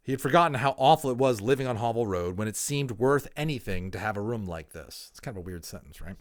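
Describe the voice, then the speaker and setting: A man reading a storybook aloud as a dramatic narrator, expressive and emphatic, in an informal style. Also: mid-range voice, fast talker. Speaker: audiobook reader.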